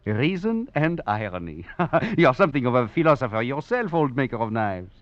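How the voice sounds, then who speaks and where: A man's voice: medium pitch, big pitch swings, normal speed, no room sound, natural voice. An actor playing a wry, cunning male escaped prisoner who narrates his tale with chuckles and theatrical flair, in a 1940s radio drama. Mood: wry, chuckling. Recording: dull old radio recording, faint music.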